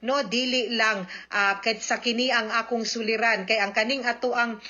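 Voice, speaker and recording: A Cebuano woman lawyer hosts a radio advice program in a chatty manner, dropping English phrases into her talk. Her voice is bright and sits a bit high. The recording is slightly muffled, like an old broadcast. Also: fairly even intonation, normal speed. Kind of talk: radio call-in advice hosting.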